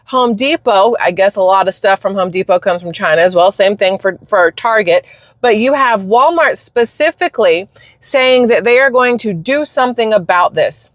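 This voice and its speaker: A woman from the United States hosting her channel, delivering an emphatic, indignant opinion rant with quick bursts of speech. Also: mid-range voice, expressive, dry recording, studio quality. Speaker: channel host.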